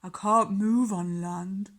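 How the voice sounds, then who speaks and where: A woman voicing an immense, gentle male humpback whale from a storybook, in a drawn-out, plaintive manner. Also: mid-range voice, fairly even intonation. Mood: moaning, helpless.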